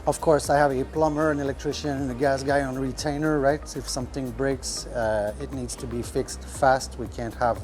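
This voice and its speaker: Man talking shop, halting. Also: mid-range voice, steady tone, darkish tone, faint music behind. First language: French. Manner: rambling, many fillers, searches for words. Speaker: restaurant owner. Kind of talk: casual podcast talk. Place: restaurant kitchen.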